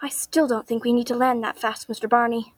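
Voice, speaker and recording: A woman's voice: a little high, fairly even intonation, brisk, no room sound, close to an everyday voice. A young woman playing a plaintive, stubborn child sidekick in a classic radio drama. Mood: complaining.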